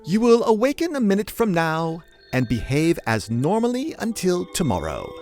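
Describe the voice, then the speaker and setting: An actor playing a gleeful, wicked puppet-master spirit in a fantasy audio drama, with mocking, florid, drawn-out phrasing. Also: mid-range voice, wildly swooping pitch, a little mellow, faint music behind. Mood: commanding, wicked.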